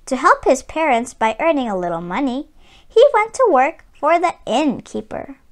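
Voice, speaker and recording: A woman reading a children's picture book aloud as host, with deliberate, sing-song storytelling phrasing. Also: high voice, big pitch swings.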